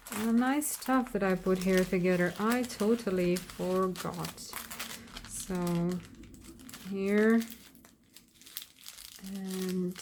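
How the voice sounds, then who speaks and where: A woman whose first language is Slovak, giving an unscripted flip-through of a handmade craft journal in a hesitant manner, often pausing and searching for words. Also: medium pitch, darkish tone, a little hiss or hum. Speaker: craft vlogger.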